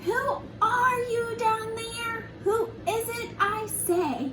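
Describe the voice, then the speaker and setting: A woman voicing a friendly, curious male bear from a children's storybook, calling out in drawn-out, sing-song words. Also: very high voice, voice pushed up. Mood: curious, pleading. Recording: roomy, distant mic, faint background noise.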